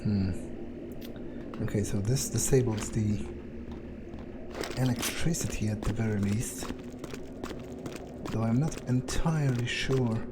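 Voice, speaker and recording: A man playing a role-playing game in character, thinking aloud in a halting, musing mutter as his vampire character. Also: fairly low pitch, very noisy.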